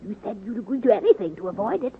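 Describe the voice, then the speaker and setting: A woman's voice: medium pitch, sing-song, very fast, a little hiss or hum. An actress playing a frail but feisty sixty-year-old American woman reformer, wry and insistent, in 1940s radio drama style. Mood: sly, determined. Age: older. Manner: wry, insistent, crisply argumentative. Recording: muffled old radio recording, phone-like band.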